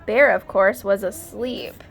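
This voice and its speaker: A woman teacher reading a storybook aloud to children, pausing to comment and ask questions. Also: medium pitch, creaky, soft background music.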